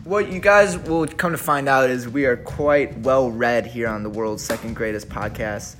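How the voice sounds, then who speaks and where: A man hosting a joking podcast, loud and swaggering with a lot of swearing. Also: medium pitch, moderate pace, a little hiss or hum. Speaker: podcast host.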